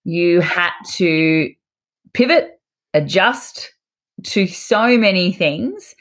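Australian woman, podcast co-host, casual conversational talk, slightly low pitch. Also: expressive, extremely slow, fairly bright tone. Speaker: podcast co-host, dental hygienist and educator.

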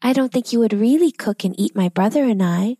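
A woman voicing a brave, kind young girl who talks politely and calmly. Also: a little high, a little fast, no room sound, studio quality. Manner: polite and calm.

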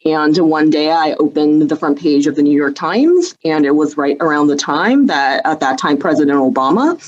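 Low-voiced woman, measured interview guest. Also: expressive, a little mellow, lo-fi sound. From southern China, grew up in Hawaii. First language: Cantonese. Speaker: podcast guest, state health policy official. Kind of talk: conversational interview answers.

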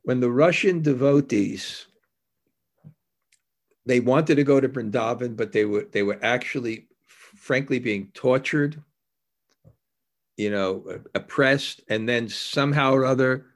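A man giving an anecdotal spiritual discourse as a devotional teacher, mixing storytelling with scripture reading in a reflective, halting, conversational manner. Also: medium pitch.

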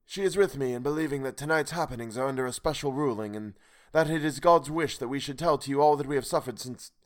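A man doing a weary castaway calling out of the darkness, his voice pitched well above the reader's own. He speaks haltingly, with broken pauses, and sounds solemn and grave. It is a storybook voice with a worn, pleading edge. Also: lightly acted. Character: weary old male castaway calling from a boat in the dark.

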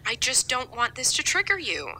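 A fussy, controlling middle-aged mother, played by a woman in an audio drama, scolding and coddling in turn. Her voice is very bright and tense, fairly high, and swoops in a sing-song way. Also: wildly swooping pitch, moderate pace, heavily acted. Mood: fussing.

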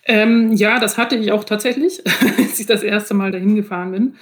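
A woman's voice: mid-range voice, steady tone, moderate pace. A woman speaking as a guest in a casual interview, explaining her experiences hesitantly and often laughing as she goes. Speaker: podcast guest, author.

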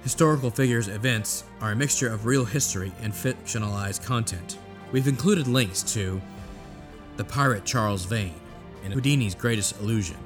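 A male announcer with a fairly low voice reads scripted narration. Music plays clearly behind him throughout. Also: moderate pace.

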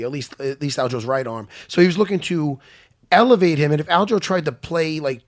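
A man with a loud voice chatting casually as a podcast co-host and fight coach, animated and full of restarts. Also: fairly high pitch. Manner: animated, stumbling restarts, laughs often. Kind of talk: casual chat.

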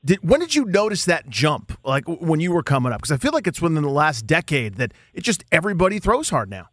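A man's voice: a little high, big pitch swings, fast, a bit bright, no echo. A man co-hosting a sports radio show, chatting in a wordy, slangy, jokey way as he interviews. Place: close dry radio studio.

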